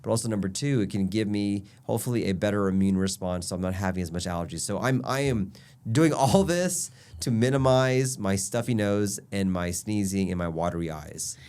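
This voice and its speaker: A man's voice: lowish voice, expressive, brisk, a little mellow, smooth. A man with a stuffy, nasal voice from allergies, a doctor explaining health tips in an upbeat casual chat. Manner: upbeat, explanatory, lists points. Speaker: physician guest.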